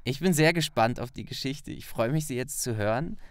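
Lively male podcast host. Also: mid-range voice, a little fast, no echo, studio quality. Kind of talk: casual interview chat.

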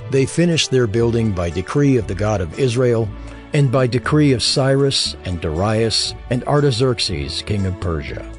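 A male narrator reads scripture for a dramatized audio Bible. His mid-pitched voice moves widely and expressively while staying measured and solemn, over a light bed of music.

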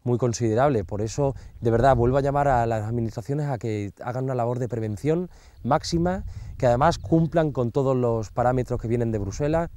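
A man's voice: mid-range voice, brisk, warm tone, no echo. A man in his thirties with a Spain accent, speaking in an interview as a farming association delegate. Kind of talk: on-location interview. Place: outdoors in open farmland. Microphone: lapel.

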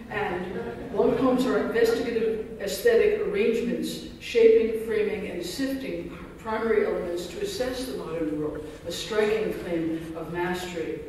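Male keynote lecturer reading a scholarly paper, high-pitched, distant mic in a reverberant hall. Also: moderate pace, few pauses, a little mellow, slight background noise. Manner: dense scholarly phrasing, self-deprecating asides. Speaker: keynote speaker. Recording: echoey hall recorded from far off.

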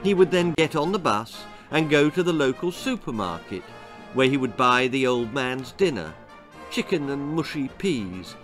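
An older man reading his own story for children as an expressive narrator. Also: medium pitch. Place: small book-lined room indoors.

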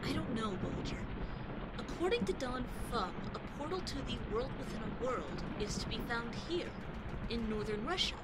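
A woman's voice: on the high side, expressive, moderate pace, natural voice. A woman playing a haughty rich boy in an audio drama, giving orders in pompous thee-and-thou speech. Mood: musing. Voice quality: pompous, archaic commanding speech. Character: Little Baron Trump, haughty rich boy. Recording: heavy rain noise behind.

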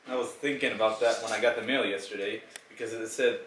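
A man's voice: lowish voice, fairly even intonation, fairly quick, a bit bright. A young man from Israel speaking English with an Israeli accent, Hebrew being his first language, making dry, joking remarks in casual chat on a couch in his living room. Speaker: vlog co-host, husband. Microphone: camera across the room. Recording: echoey room with faint background hum.

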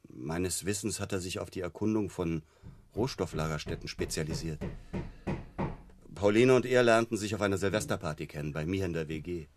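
An actor playing a self-assured male psychotherapist in a German radio crime drama, measured, condescending and dryly ironic. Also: deep voice, slight background noise, close to an everyday voice.

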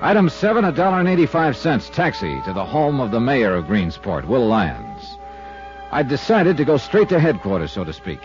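A man's voice: medium pitch, huge pitch swings, normal speed, loud music behind the voice. An actor playing a wisecracking male insurance investigator who narrates his own case in a dry, wry, matter-of-fact way, in a 1950s radio drama. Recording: dull old radio transcription.

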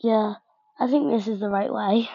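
A woman's voice: mid-range voice, normal speed, warm tone, dry recording. A woman casually narrating while she decorates a game house, thinking aloud with many fillers and hesitations. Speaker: gaming vlogger. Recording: dull lo-fi home mic.

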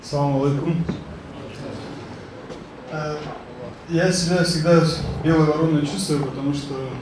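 A younger man taking the mic at a cultural evening in a big reverberant hall, speaking flatly over loud noise. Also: a little high, moderate pace. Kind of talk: informal public speech. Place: theatre stage, indoors. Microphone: handheld microphone. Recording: very echoey, distant, loud background noise.

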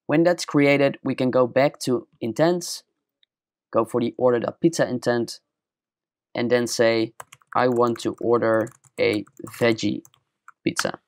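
A young man with a Dutch accent walking through a screen-recorded software tutorial step by step, in a plain explaining manner. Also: medium pitch, fairly even intonation, unhurried, no room sound, clean studio sound. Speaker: tutorial presenter.

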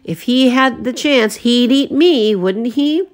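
A woman voicing a blunt, rough frontiersman who drawls his words. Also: sits high, sing-song, drawn-out words, voice pushed up, full character voice. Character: gruff rough frontiersman fisherman.

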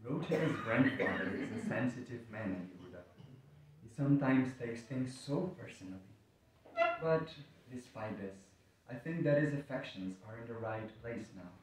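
A measured, patient nobleman read by a man in an amateur stage drama. His voice has a middling pitch and comes from far off in a very echoey hall. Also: expressive, natural voice. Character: calm, measured noble elder relative, explaining patiently. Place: wood-panelled hall, indoors.

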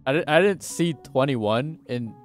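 A man's voice: mid-range voice, animated, a little slow, faint music behind. A man in his twenties chatting casually on a game livestream through a headset mic, rambling and laughing at himself. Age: 20s. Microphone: headset mic at desk.

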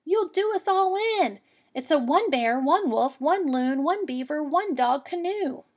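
A woman's voice: high voice, expressive, unhurried, pitched above the performer's own voice. A woman voicing a plucky, exasperated young girl in a storybook, with emphatic sing-song delivery.

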